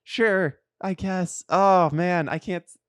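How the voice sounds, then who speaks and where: A man co-hosting a podcast, chatting informally and telling stories in an animated, giggly way. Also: sits high, slow, smooth, no room sound, studio quality. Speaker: podcast co-host. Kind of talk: casual chat. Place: podcast studio.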